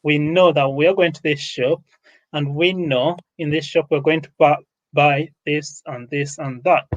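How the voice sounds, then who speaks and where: A man with a Ugandan accent and a fairly high-pitched voice addresses an online summit. He speaks without a script, leaning on repetition and emphasis to make his points. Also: fairly even intonation, measured pace, dull audio.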